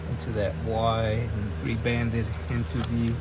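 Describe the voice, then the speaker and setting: Male DIY host, mid-pitched, laid-back and profane, talking off the cuff over noticeable garage noise. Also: monotone, a little slow. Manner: laid-back, sweary, plain-spoken. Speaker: host of a DIY car build channel. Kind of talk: casual unscripted build walkthrough. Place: garage workshop.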